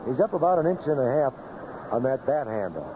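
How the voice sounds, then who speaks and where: A man's voice: mid-range voice, big pitch swings, fairly quick, lo-fi sound, noisy background. A man calling baseball play-by-play live on a vintage radio broadcast. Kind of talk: live radio sports commentary. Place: outdoor ballpark broadcast booth.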